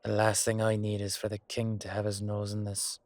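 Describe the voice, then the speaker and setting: A man with an Irish accent playing a hot-headed, loyal knight in a roleplay audio drama, gruff and colloquial with a wry streak. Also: lowish voice, completely flat delivery, normal speed, darkish tone, no room sound, lightly acted. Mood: grim, wary.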